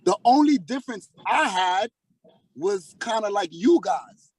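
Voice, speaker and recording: A man's voice: sits high, animated, measured pace, husky, no room sound, low-quality recording. A man in his forties, a former student of the host, talking reflectively and filling his speech with "like" and "you know". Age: 40s. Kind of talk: casual reflective chat.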